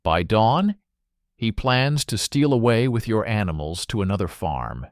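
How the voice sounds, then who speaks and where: A man playing an alarmed male servant, gravely accusing, in a storybook parable. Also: on the low side, animated, a little slow, dry recording, studio quality.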